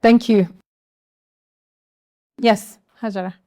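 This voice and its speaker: A Nigerian woman hosting a television panel discussion, telling stories in an animated way. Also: mid-range voice, slow, no echo, clean studio sound. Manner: animated, acts out quoted voices. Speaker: talk show host. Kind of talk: panel discussion, lively storytelling. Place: television studio.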